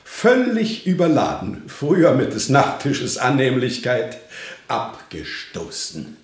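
An actor in a German radio play voicing a brusque, ascetic gentleman who speaks tersely in formal, old-fashioned diction. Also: mid-range voice, wildly swooping pitch, takes it slowly. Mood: dismissive. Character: curt ascetic gentleman who gave away his collections. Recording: echoey room, music underneath.

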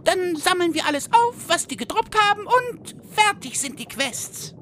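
A woman's voice: extremely high pitch, lively intonation, moderate pace, fairly bright tone. A woman playing a crude, impatient, childishly gleeful adventurer in a comedic fantasy audio drama. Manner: crude, blunt. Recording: faint background noise.

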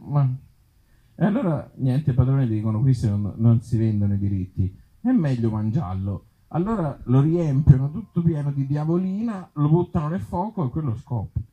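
A man puts on a befuddled storybook reader with a raised, slightly husky voice. The delivery is stubborn and halting, stumbling over words and repeating them, as comic theatre. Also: medium pitch, expressive, narrow, tinny audio, pitched above the performer's own voice. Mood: excitable, insistent. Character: befuddled, stubborn reader of absurd little fairy tales.

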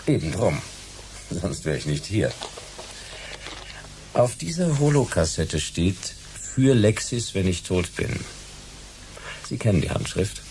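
A man's voice: low-pitched, very animated, slow pace, pauses often, darkish tone, slight background noise, exaggerated voice. A man playing a brash, wisecracking private detective in a radio play, with a flippant, terse manner. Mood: cool, flippant.

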